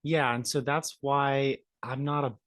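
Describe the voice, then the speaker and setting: A young man in his twenties explains a technical subject as an interview guest. His voice sits at a middle pitch and he talks casually, close to the microphone in a dry room. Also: steady tone, a little slow, a bit bright, no echo. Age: 20s. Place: indoor room, video call.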